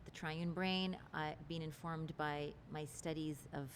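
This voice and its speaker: A woman with a slightly low-pitched voice speaks as an interview guest. She explains her ideas in an articulate, expansive way with earnest conviction. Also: fairly even intonation, a little slow, slight background noise. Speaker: relationship teacher, interview guest. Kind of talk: interview conversation.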